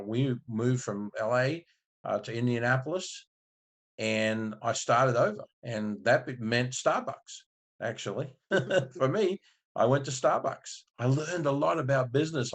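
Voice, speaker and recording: A man from Australia in his fifties, a marketing agency owner and guest, telling his story in a relaxed, rambling way with many restarts. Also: mid-range voice, moderate pace. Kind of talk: casual interview storytelling.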